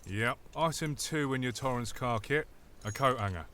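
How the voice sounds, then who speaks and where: A man's voice: medium pitch, moderate pace, fairly bright tone, slight background noise, lightly acted. A man with a British accent playing a cheeky, matey, crooked island mechanic in a comic audio drama. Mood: cheeky, teasing. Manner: chatty, teasing.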